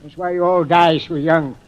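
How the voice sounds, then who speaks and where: An actor playing a folksy, hesitant old boathouse proprietor in a 1940s radio drama. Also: male voice, a little high, fairly even intonation, unhurried, lightly acted. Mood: folksy, chatty. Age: older. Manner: folksy, hesitant, chuckling drawl. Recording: muffled 1940s radio recording.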